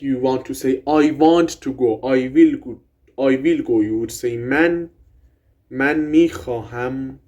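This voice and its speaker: Excited young man explaining grammar. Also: on the high side. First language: Persian. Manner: excited, swears, rambles with restarts. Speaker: language vlogger. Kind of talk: casual explainer talk.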